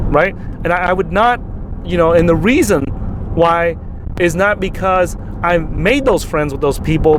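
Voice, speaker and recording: Chatty man talking over faint road noise. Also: on the high side. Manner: animated, repeats words, laughs often. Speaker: co-host. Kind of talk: casual podcast chat.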